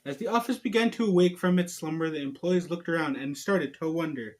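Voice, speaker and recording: A man's voice: on the high side, fairly quick. A man reading a storybook aloud and chatting casually to viewers, with a playful, giggly manner.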